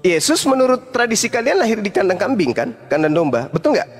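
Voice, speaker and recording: Male preacher, high voice, combative debater firing rhetorical questions, faint music underneath. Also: wildly swooping pitch, fairly quick, husky. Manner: forceful, rhetorical questions, swings from low to loud emphasis. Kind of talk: heated religious debate.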